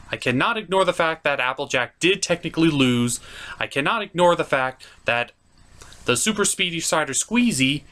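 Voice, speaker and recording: Man, fairly high voice, lively intonation, scripted review delivery, sarcastic, emphatic. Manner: sarcastic, emphatic, drawn-out stresses. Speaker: reviewer, show host. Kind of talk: scripted cartoon episode review.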